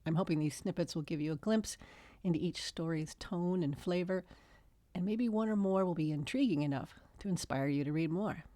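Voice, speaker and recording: A woman's voice: lowish voice, moderate pace, mellow, no room sound. A woman author reading her own fiction as scripted storybook narration.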